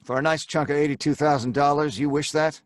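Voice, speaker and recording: A man's voice: on the high side, fairly even intonation, fast, dry recording, clean studio sound, close to an everyday voice. An actor playing a cocky, slangy robber and gang leader who tells his story in old time radio crime drama style. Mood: impatient, cocky. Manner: slangy, hard-boiled, colloquial.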